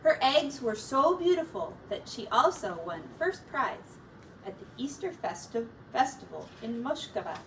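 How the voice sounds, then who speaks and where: A woman reading a children's picture book aloud as a teacher, in a deliberate, expressive storytelling manner. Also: fairly high pitch. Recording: distant mic with faint background noise.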